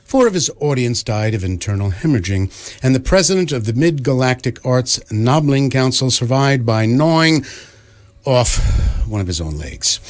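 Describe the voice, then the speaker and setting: A dry, mock-solemn narrator, played by a man for a radio play. His pitch is average and his scripted delivery is that of an announcer. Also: close to an everyday voice.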